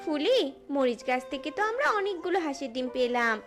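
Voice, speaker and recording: A woman voicing an excitable, hardworking village mother in a cartoon fairy tale, exclaiming and repeating words. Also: high voice, a little fast, faint music behind. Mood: pleased, eager.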